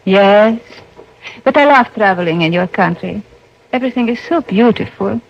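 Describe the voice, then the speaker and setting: A woman's voice: medium pitch, normal speed, close to an everyday voice. An actress playing a poised young Swiss policewoman, speaking formally and courteously in old-time radio style. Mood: friendly, polite. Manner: formal, courteous, careful phrasing. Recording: muffled old radio recording.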